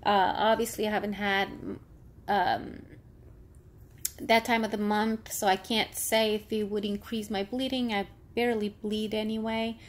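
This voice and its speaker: A woman's voice: mid-range voice, level tone, a little slow. A woman in her thirties talking candidly in a personal vlog, rambling with frequent fillers; she works in healthcare. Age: 30s. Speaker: vlogger; healthcare worker.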